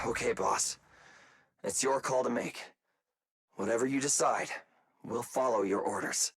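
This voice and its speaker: A man playing an excitable, goofy, loyal android sidekick in a game cutscene, exclaiming and whining dramatically, with noise behind him. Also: mid-range voice, unhurried, breathy edge, no echo, studio quality. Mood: earnest. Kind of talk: animated game cutscene acting.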